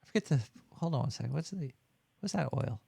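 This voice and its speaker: A man's voice: fairly high pitch, animated, fast talker, gravelly, dark tone, slightly rough, no echo. A man hosting a show, chatting casually in a cynical, rambling way and swearing freely. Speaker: radio show host. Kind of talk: casual chat.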